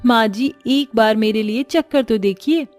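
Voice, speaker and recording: A woman's voice: fairly high pitch, a little slow, a little mellow, soft background music, close to an everyday voice. A woman voicing a poor, hardworking young daughter-in-law who speaks eagerly. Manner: eager, calling out.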